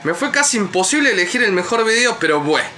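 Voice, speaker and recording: A man's voice: high-pitched, sing-song, fast talker, bright, forceful tone, soft background music. A young man with an Argentine Spanish accent in a casual group chat, recorded indoors by a camera across the table. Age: young adult. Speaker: show regular. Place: small tatami inn room, indoors.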